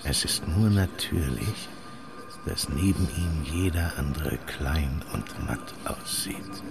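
A male narrator with an extremely deep, gravelly voice reads slowly and with an expressive rise and fall. Loud music plays under him. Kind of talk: literary radio narration.

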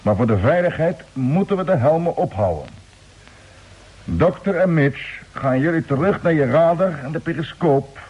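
A man acting a steady spaceship fleet commander in an old radio drama, speaking in a brisk, authoritative way. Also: fairly high pitch, slightly rough. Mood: calm, commanding. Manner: brisk, authoritative, giving orders. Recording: muffled vintage radio drama recording.

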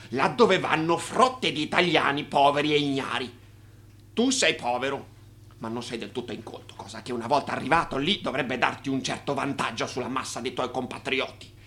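An actor playing an exasperated, sarcastic uncle lecturing in a theatrical radio drama. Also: male voice, medium pitch, pushed and bright, close to an everyday voice. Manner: scolding, sarcastic.

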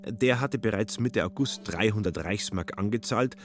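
Casting: male radio play narrator, lower register, scripted literary storytelling, faint guitar music. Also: normal speed.

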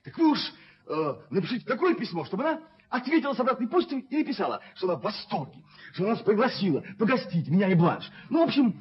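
Торопливого юноши с высоким голосом. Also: male voice, high voice, big pitch swings. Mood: eager, pleading. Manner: hurried, boyish, hesitant. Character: eager, impulsive young man in love. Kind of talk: Soviet radio play acting. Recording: dull, hissy 1970s radio recording.

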